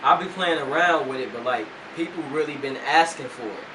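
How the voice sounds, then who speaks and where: A man's voice: fairly high pitch, fairly quick. A young man who is a rapper, talking as an interview guest in a loose, laid-back way with lots of slang and fillers. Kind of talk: casual interview chat. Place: radio studio. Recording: roomy, distant mic, noisy hum.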